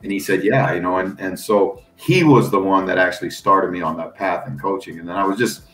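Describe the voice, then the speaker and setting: A middle-aged American man, a football coach and analyst, telling stories in an animated, folksy way and laughing along. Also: deep voice, fairly quick, reverberant, rough recording. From United States. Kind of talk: casual storytelling on a podcast.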